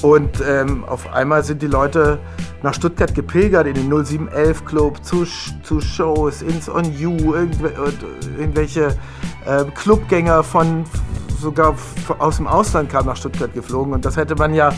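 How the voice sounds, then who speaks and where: A man's voice: a little high, fairly even intonation, normal speed, over music. A man being interviewed who talks hesitantly, with many fillers. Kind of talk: recalling in an interview.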